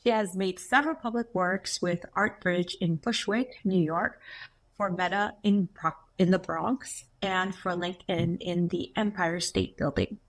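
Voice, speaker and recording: A woman's voice: fairly low pitch, fairly even intonation, a little slow, low-quality recording. A woman hosting an interview podcast, reading a guest introduction and asking about the guest's background. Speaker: podcast host.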